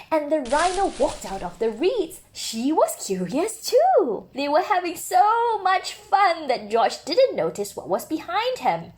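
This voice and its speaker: A woman reading a children's story aloud with expressive, dramatic emphasis for young listeners. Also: on the high side. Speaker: storybook reader.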